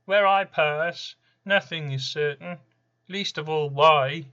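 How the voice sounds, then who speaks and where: A man's voice: a little high, slow, bright, forceful tone, dull audio, pitched above the performer's own voice. A man voicing an ominous, smugly self-assured horseman spirit of random misfortune in a declamatory poetry reading.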